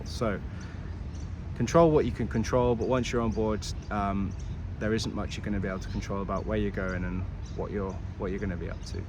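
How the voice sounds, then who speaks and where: A man in his thirties speaks candidly to camera in a lowish voice, pausing to rethink and restart his sentences as he goes. He is recorded outdoors, with clear traffic noise running behind him. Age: 30s. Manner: candid and reflective, with frequent restarts and self-corrections. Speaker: yacht crew member giving career advice. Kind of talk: casual talking-to-camera vlog.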